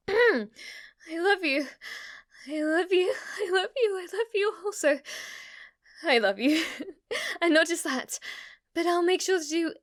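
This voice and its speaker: A woman's voice: very high voice, animated, takes it slowly, long pauses, a bit bright, no room sound, studio quality, voice pushed up, full character voice. A woman voicing an obsessive, lovestruck witch in an intimate ASMR roleplay, with a hesitant, gushing way of talking. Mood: ecstatic, gushing. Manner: hesitant, rambling, gushing, giggly delivery. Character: lovestruck yandere witch, obsessive, shy yet giddy, teasing.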